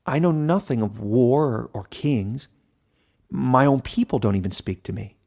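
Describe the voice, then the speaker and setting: A man's voice: mid-range voice, expressive, measured pace, gravelly, darkish tone. Guy doing a flustered village kid in a fantasy audiobook, stammery and humble. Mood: bewildered, humble. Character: humble, bewildered village boy, a woodcutter.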